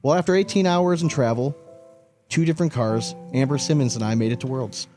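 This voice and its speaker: A man's voice: medium pitch, fairly quick, mellow, soft background music. A man co-hosting a podcast in casual, opinionated chat, emphatic, often repeating himself and swearing freely. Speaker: podcast co-host.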